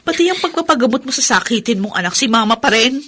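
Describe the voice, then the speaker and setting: A Filipino actress playing a resentful, sharp-tongued older sister in a radio drama, scornful and accusing. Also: female voice, a little high, animated, fast talker, slight background noise.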